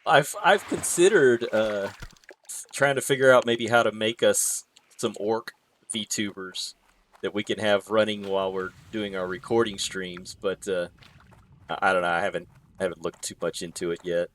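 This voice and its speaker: Male streamer co-host, lowish pitch, hesitant and halting in conversation. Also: steady tone, fairly bright tone, a little hiss or hum. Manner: hesitant, halting with many uh's. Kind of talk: casual gaming stream chat.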